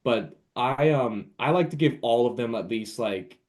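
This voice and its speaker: A man's voice: mid-range voice, a little slow, darkish tone. A man speaking as a podcast guest and author, chatting casually about books in an informal, emphatic, opinionated way. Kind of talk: casual book chat.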